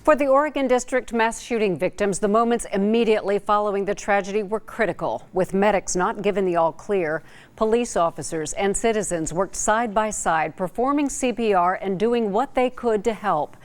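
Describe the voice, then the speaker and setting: A woman's voice: medium pitch, moderate pace. A woman with an American accent reading scripted broadcast news as an anchor.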